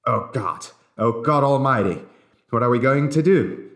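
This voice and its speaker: A man's voice: mid-range voice, animated, unhurried, a bit bright, reverberant. A man voicing a flustered railroad superintendent in an audiobook, gasping, exclaiming and giving orders. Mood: shocked, panicked.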